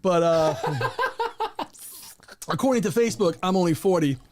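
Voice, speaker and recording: A man's voice: high voice, huge pitch swings, a little slow, darkish tone, slight background noise. A man in his fifties from Colombia, a native Spanish speaker, chatting casually as a guest, laughing and rambling a lot. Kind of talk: casual chat.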